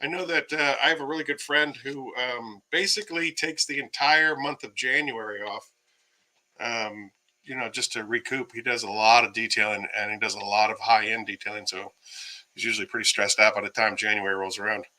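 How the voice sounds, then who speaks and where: A man chats on a casual livestream, rambling through fillers and shouting out viewers. His voice is starting to go hoarse. The tone is strongly bright and pressed. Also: fairly low pitch, fairly even intonation, a little fast, poor audio. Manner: rambling, many fillers, greets viewers by name. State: losing his voice. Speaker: livestream host. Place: home, by himself.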